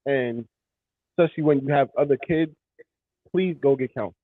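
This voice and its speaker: A man co-hosting a casual podcast, halting with many ums and restarts. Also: medium pitch, no echo. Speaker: podcast co-host. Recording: tinny phone-like line.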